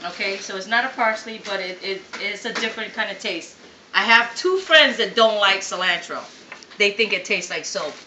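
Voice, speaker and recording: A woman born in Vietnam walks viewers through a recipe in her home kitchen, chatty and step by step. Her voice is bright, and the kitchen sounds roomy and echoey, with a faint hum of background noise. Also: mid-range voice, moderate pace. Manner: chatty, explains step by step, frequent okay checks. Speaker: cooking show host. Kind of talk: home cooking demonstration.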